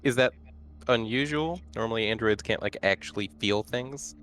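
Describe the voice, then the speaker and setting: A man's voice: mid-range voice, moderate pace, fairly bright tone, slight background noise. A man talking casually as a player in a tabletop roleplaying game.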